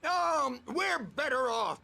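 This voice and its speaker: Very high, creaky crazy old rocker. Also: male voice, expressive, pushed and bright, slight background noise, heavily acted. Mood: weary, muttering. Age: older. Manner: rambling, halting, theatrical. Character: crazy old rocker, rambling, conspiratorial, boozy. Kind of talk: video game character acting.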